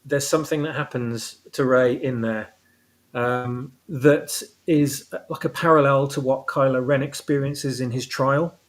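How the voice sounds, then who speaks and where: A man's voice: medium pitch, fairly even intonation, a little slow. A man talking casually as an interview guest, explaining his own story and rephrasing as he goes. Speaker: guest, audio drama writer.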